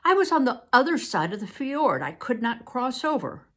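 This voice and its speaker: A woman's voice: sits high, sing-song, moderate pace, a bit bright, pitched above the performer's own voice, full character voice. A woman reading aloud, voicing a desperate young male soldier who pleads earnestly. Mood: pleading.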